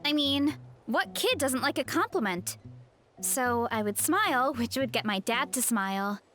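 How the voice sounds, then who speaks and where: A woman's voice: high voice, expressive, measured pace, a bit bright. A woman playing a cheerful, hearty young farm girl in a video game, chatting in a sweet, easygoing way. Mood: fond, reminiscing. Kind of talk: video game character dialogue. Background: music.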